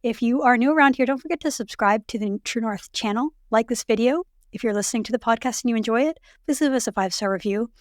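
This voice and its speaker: A woman in her thirties hosting a casual podcast chat, her voice raspy and hoarse from late pregnancy, talking in a chatty way with many fillers. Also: a little high, fast talker, hardly any pauses, no echo, clean studio sound. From Canada. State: heavily pregnant, voice going hoarse. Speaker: podcast host.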